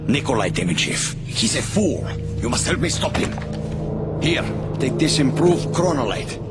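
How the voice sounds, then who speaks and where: A man's voice: mid-range voice, very animated, moderate pace, natural voice. Urgent scientist, acted by a man, music behind. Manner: urgent, pleading. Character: desperate, urgent Russian head scientist. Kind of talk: video game voice acting.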